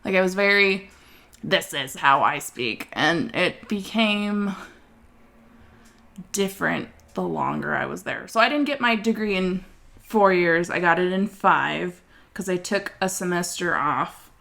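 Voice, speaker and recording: A young woman co-hosting a casual art podcast, telling her story earnestly with animated asides and laughter. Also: lowish voice, measured pace, gravelly. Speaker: podcast co-host. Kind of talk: casual chat.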